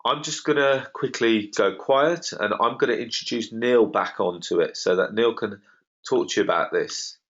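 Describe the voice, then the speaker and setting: Croaky man hosting a webinar. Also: lowish voice, normal speed, fairly bright tone. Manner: confident, salesy, emphatic. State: slightly croaky. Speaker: webinar host. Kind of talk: online property webinar talk. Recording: dull lo-fi web call audio.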